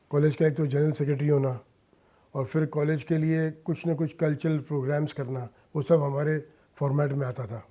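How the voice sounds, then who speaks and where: A man's voice: on the high side, warm tone. A middle-aged man from India speaking earnestly as a radio interview guest, dropping in English phrases. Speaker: interview guest, businessman and social worker. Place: radio studio.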